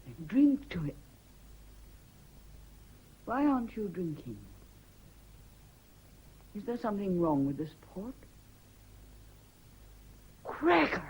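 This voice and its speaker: A woman's voice: mid-range voice, very animated, slow pace, pauses often, a little mellow, husky, heavily acted, rough recording. An actress playing an earnest, determined woman in a fraught love affair, direct and intense, in a radio drama. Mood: puzzled, alarmed. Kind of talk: British radio drama acting.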